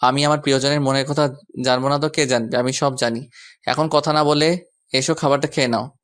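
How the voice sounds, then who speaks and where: A man acts as a devoted young lover in an audio romance. His mid-pitched voice stays quite even, firm and sure of himself. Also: brisk, close to an everyday voice. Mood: tender. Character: devoted, confident young male lover, protective and defiant. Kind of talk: romantic audio story acting.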